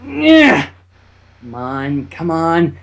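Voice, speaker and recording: A woman's voice: deep voice, very animated, extremely slow, vocal fry, exaggerated voice. A man doing an earnest unicorn mare teacher. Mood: encouraging. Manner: coaxing, encouraging.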